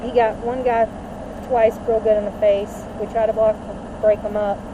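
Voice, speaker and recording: A woman's voice: fairly high pitch, level tone, normal speed. A woman giving an informal, colloquial spoken account, caught on muffled and noisy body camera audio. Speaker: behavioral health facility staffer. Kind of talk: casual recounting to police.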